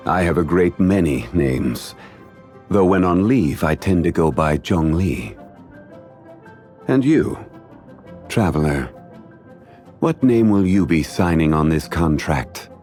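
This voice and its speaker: An actor voicing a calm, courteous, dignified man of ancient wisdom in a video game, speaking formally and contemplatively. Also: low voice, slow, pauses often, soft background music. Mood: composed, courteous. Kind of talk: scripted video game character voice lines.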